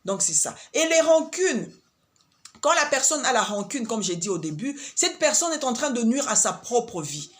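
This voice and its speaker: A woman's voice: a little high, big pitch swings, normal speed. Congolese woman musing with many fillers. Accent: Congolese French. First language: Swahili. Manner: hesitant, many fillers and repeated words. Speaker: vlogger giving life advice. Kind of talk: casual advice monologue.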